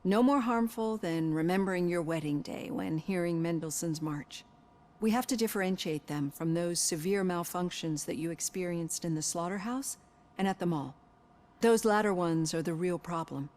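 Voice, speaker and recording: Woman as a composed lower-voiced psychologist. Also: normal speed, darkish tone, natural voice. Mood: reassuring, analytical. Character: composed, analytical female psychologist questioning her patient. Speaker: therapist. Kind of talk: scripted game dialogue.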